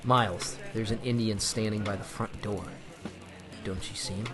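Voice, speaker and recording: An actor playing a wary, composed speakeasy owner in an old-time radio drama, clipped and polite. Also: male voice, on the low side, fairly even intonation, moderate pace, over music, lightly acted.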